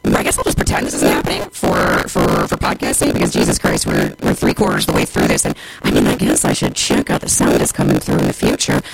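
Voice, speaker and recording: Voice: monotone, fast, creaky, few pauses. A radio host from the United States rambling casually and wryly between songs, with the voice broken up by a robotic, glitching digital distortion. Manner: wry, sardonic, exasperated, swearing. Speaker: radio show host and podcaster. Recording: noisy, garbled low-bitrate streaming audio. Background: harsh interference noise.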